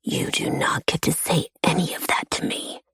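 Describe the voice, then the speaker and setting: A woman voicing an angry, hurt young man who speaks in low, breathy, hissing whispers. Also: normal speed, no room sound, clean studio sound, heavily acted. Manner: low hissed whispering, bitter and intense.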